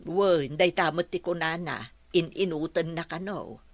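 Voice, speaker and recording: A woman's voice: deep voice, measured pace, no room sound. An actress with an Ilocano Filipino accent playing a practical mother in a radio drama. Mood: matter-of-fact.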